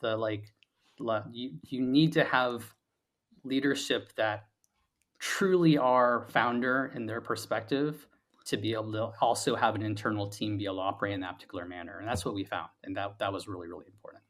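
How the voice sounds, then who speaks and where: A man speaking as an interview guest, a founder explaining his thinking in a halting, conversational way with repeated words. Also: fairly low pitch, fairly even intonation, a bit bright.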